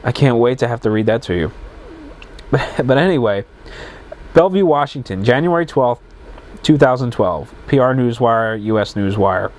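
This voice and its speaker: A man's voice: medium pitch, lively intonation, moderate pace, a little mellow. A man with plugged, congested sinuses hosting a casual solo podcast, reading news items aloud and chatting between them, often stumbling and correcting himself. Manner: rambling, self-correcting, stumbles over words. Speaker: podcast host.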